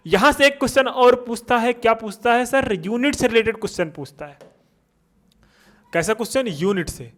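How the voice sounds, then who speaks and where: A man's voice: very high voice, moderate pace, fairly bright tone. A male teacher giving a physics lesson for exam students, asking questions and answering them himself.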